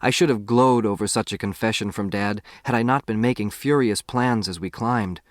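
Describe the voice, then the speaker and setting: A man's voice: on the low side, normal speed, dry recording, clean studio sound. A man reading a first-person novel aloud as an audiobook narrator.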